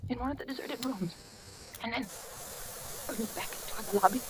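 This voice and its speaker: An actress playing a frightened, trapped, desperate woman in a melodramatic old radio horror drama, halting and close to hysteria. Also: a little high, background noise, close to an everyday voice. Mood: hushed dread.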